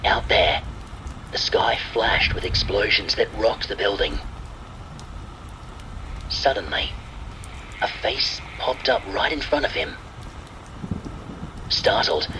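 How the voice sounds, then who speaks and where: A man's voice: on the low side, lively intonation, takes it slowly, pauses often, background noise. A man reading a scripted horror story as narrator, with rain and thunder sounds behind him. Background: rain and thunder ambience.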